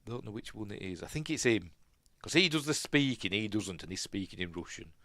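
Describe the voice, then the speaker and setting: A man with a Yorkshire accent hosting a casual film commentary, rambling and musing aloud, often second-guessing himself and trailing off quietly. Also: fairly low pitch, animated, a little fast, dry recording. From Yorkshire, England. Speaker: film review host.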